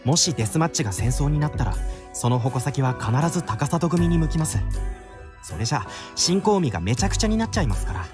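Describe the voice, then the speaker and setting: A polite, rapid-talking young man. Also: a little high, big pitch swings, music in the background. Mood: earnest. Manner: polite and explanatory. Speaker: voice actor in a visual novel. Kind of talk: Japanese game voice acting.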